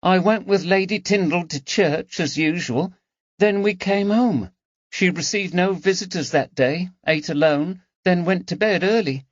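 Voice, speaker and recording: A man's voice: high-pitched, a little slow, no room sound, pitched above the performer's own voice. A man voicing a timid young lady's maid. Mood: anxious. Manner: hesitant, plain-spoken working-class speech. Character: timid nineteen-year-old dismissed lady's maid. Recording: dull, dry audiobook recording.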